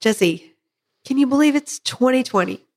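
A woman in her thirties hosting a podcast with her husband, her voice hoarse from a head cold, chatting casually and laughing now and then. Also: high-pitched, lively intonation, moderate pace. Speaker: podcast host.

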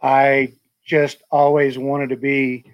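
A man's voice: medium pitch, completely flat delivery, slow, no echo, lo-fi sound. Chatty male host, dry close sound. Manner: rambling, many fillers and restarts. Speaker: podcast host. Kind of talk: casual interview chat.

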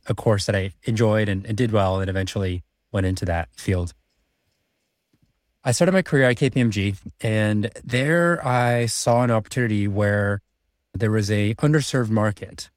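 A man's voice: lowish voice, darkish tone, dry recording, clean studio sound. A man in his thirties, a podcast guest, recounting his path to business school in an earnest way with frequent restarts. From born in China, raised in Prague, moved to Vancouver. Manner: earnest storytelling with many restarts. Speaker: guest, MBA student and finance executive. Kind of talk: podcast interview.

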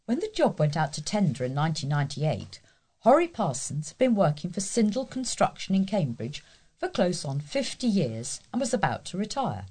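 A woman's voice: fairly low pitch, very animated, normal speed, mellow, slightly rough. A woman volunteer reading a local news feature aloud. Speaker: volunteer newspaper reader.

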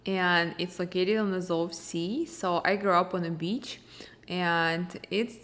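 Woman podcast host, Russian accent, lower-pitched, chatty and upbeat. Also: steady tone, a little slow, fairly bright tone. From Ukraine. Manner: chatty, upbeat, simple sentences. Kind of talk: casual solo Q&A podcast.

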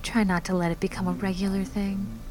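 An actress playing a curt, sardonic woman office boss with a dry, gravelly delivery. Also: medium pitch, flat delivery, a little fast, a little mellow, close to an everyday voice. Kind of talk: audio drama acting.

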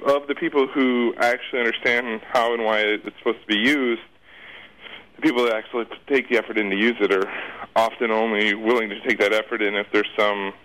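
A man's voice: mid-range voice, a bit bright, poor audio. A man speaking as an expert interview guest, explaining things informally in a conversational, circling way. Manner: informal, circling explanations with repeated "you know".

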